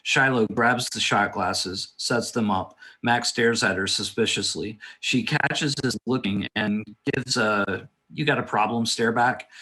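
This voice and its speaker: A man's voice: lowish voice, sharp tone, poor audio. A man narrating stage directions from a script at a table read.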